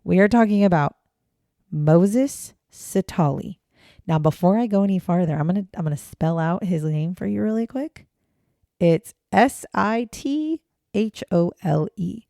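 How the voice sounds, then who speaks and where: A woman's hoarse, raspy voice, gravelly and dark, on a fairly low pitch. She talks through a true-crime podcast in a chatty, blunt way, with casual swearing. The sound is dry and close, with no room around it. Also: measured pace, no echo. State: hoarse, raspy voice after choking on water. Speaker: podcast co-host.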